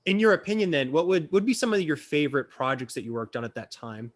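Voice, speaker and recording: 30s guy hosting a chill interview, bit under the weather, swears a lot, kinda high pitch. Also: sing-song, fast. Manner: loose, frank, swears freely. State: a bit sick. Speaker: interviewer, channel host. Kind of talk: casual chat.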